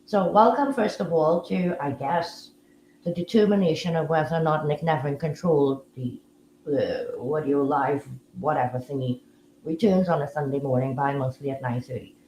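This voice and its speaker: A woman hosting a casual live audio room, talking in a relaxed, musing way with frequent fillers. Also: low-pitched, normal speed, reverberant, slight background noise, poor audio. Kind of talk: casual live-stream chat.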